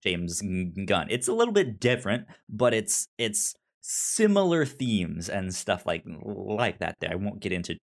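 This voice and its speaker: A man with a stutter, talking casually and haltingly as he co-hosts a podcast discussion. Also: lowish voice, very animated. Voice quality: stutter, repeating sounds and syllables. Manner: halting, searching for words. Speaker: podcast co-host reviewing an audio drama.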